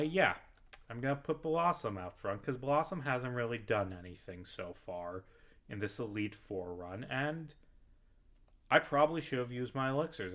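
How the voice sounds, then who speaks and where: A man's voice: on the low side, a bit bright. A man giving casual video game commentary, rambling and thinking aloud with the odd swear word.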